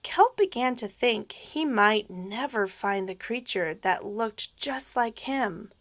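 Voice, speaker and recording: A woman teacher reading a children's story aloud expressively, pausing to explain things simply for young listeners. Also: fairly high pitch, lively intonation, a bit bright, no room sound.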